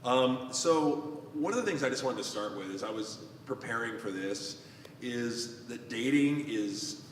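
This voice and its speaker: A man in his fifties hosts a relaxed podcast talk as a pastor. He wanders through his thoughts in an easy, filler-heavy way, his voice sitting at an ordinary middle pitch. A long reverb trails behind him in the room. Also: measured pace, a little hiss or hum. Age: 50s. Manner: rambling, loose, lots of fillers. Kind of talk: casual podcast conversation.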